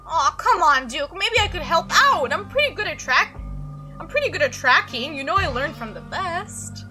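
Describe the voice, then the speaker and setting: A woman's voice: extremely high pitch, very animated, normal speed, sharp tone, faint music behind, heavily acted. A woman voicing an eager, teasing young female amateur monster hunter in a visual novel, with a casual, coaxing manner.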